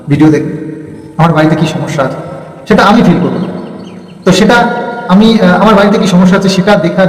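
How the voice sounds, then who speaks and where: A young man speaks in a high voice, addressing viewers like a vlogger. His delivery is halting, with words repeated for emphasis. The room rings with echo and long reverb. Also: darkish tone. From India, Bengal region. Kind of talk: casual vlog talk, airing personal grievances.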